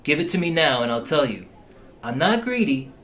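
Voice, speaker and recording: Man playing edgy, lively high-voiced informant. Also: moderate pace. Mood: cagey, insistent. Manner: insistent, edgy. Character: cagey, pushy, touchy informant selling incriminating secrets. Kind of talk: audio drama acting.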